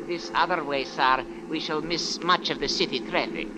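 An actor playing a sly, formally polite cab driver from Cairo, in an old radio drama. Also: male voice, a little high, fairly even intonation, moderate pace, lightly acted. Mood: smooth, evasive. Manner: formal, deferential phrasing. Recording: old radio recording with loud noise.

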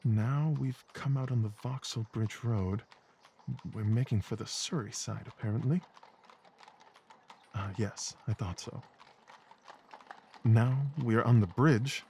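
A man playing a keen, observant Victorian gentleman detective, muttering in clipped, musing phrases in an audio drama. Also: mid-range voice, slow pace, pauses often, a little hiss or hum. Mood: absorbed musing.

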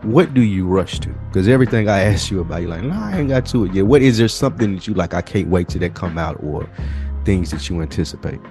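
A man's voice: low voice, sing-song, fast, a little mellow, faint music behind. A man with an American accent, co-hosting a podcast in casual, slangy conversation. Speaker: podcast co-host.

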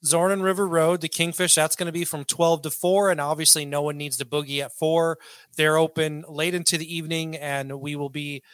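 A man's voice: on the high side, little pitch movement, fairly quick, fairly bright tone, smooth, no room sound. A man hosting a casual podcast, loose and joking with crude humor. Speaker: podcast host.

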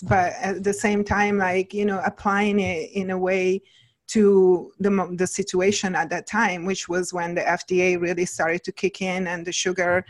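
A middle-aged woman with an Italian accent, talking casually as a guest nutritionist with lots of "like" and "you know". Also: medium pitch. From Italy. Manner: chatty, many fillers like "like" and "you know". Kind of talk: casual interview talk.

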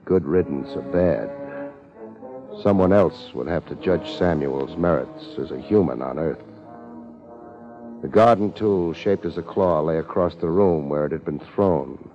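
An actor playing a laid-back, tough American private detective in a 1950s radio drama, with a dry, sardonic manner. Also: male voice, rumbling low, unhurried. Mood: dry narration. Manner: dry, hard-boiled, sardonic. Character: laid-back, tough, wisecracking male New York private eye. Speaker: detective hero and narrator. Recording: dull vintage radio recording with music.

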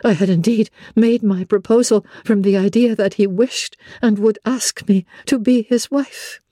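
A woman narrating classic literature in a measured, expressive storytelling manner. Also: mid-range voice, no room sound, studio quality.

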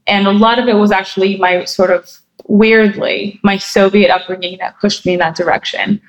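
A woman guest in her thirties, from New Jersey, talks through her answers in a relaxed interview. Her pitch sits mid-range for a woman, and she thinks aloud with frequent fillers and self-corrections. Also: moderate pace, dull audio. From New Jersey, USA. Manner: thoughtful, many fillers and restarts.

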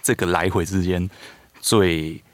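A man's voice: deep voice, fairly even intonation, measured pace, no room sound. A man with a Taiwanese Mandarin accent chatting casually as a voice actor guest in an interview.

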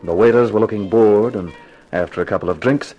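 An actor playing a wisecracking, slangy private detective in a 1950s radio crime drama. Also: male voice, lowish voice, brisk, dull audio, faint music behind, lightly acted. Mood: wry narrating. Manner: slangy, wry, tough-guy talk. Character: wisecracking hard-boiled private detective, narrating his own case.

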